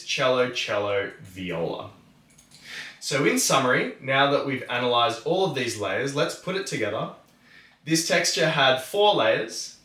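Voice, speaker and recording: Roomy, distant recording, man, bright forceful voice, mid pitch, methodical tutorial narration. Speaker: music tutorial presenter.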